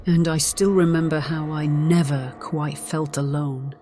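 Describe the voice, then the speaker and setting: A woman playing a centuries-old, conflicted vampire, narrating gravely in a measured, theatrical way. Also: low voice, steady tone, mellow. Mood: brooding. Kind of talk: dramatic scripted narration. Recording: dramatic music behind the voice.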